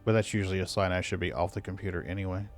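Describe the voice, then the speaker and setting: A man with a lowish voice chats easily while he plays. He mutters his moves to himself and hums between lines. Faint music plays behind him. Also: racing. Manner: relaxed, talks to himself, hums idly. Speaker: streamer. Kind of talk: casual chat while gaming.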